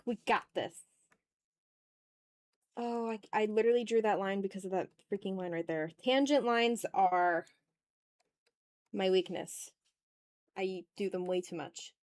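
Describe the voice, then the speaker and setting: A woman's voice: mid-range voice, no room sound. A woman streamer talking casually and informally to her chat while she draws, in a rambling, off-the-cuff way. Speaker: art streamer and ASMR YouTuber hosting a livestream.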